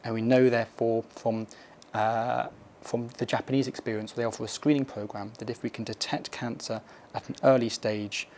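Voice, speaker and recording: A man with a medium-pitched voice and little melodic movement answers as a hospital consultant. He phrases things formally and haltingly, as in an educational film interview, over a faint layer of noise. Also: flat delivery, darkish tone.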